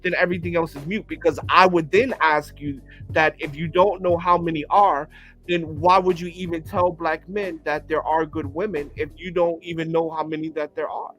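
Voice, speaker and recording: Forties male debater, higher voice, insistent, stumbles over repeats, faint music under him. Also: fairly even intonation, moderate pace, a bit bright. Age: 40s. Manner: insistent, interrupts, repeats words. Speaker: panel guest. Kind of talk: casual livestream debate.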